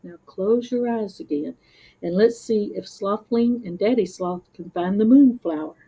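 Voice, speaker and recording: A woman voicing a wise, relaxed father sloth in a storybook, with a gentle, unhurried murmur. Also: mid-range voice, slightly rough, poor audio. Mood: wise, calm.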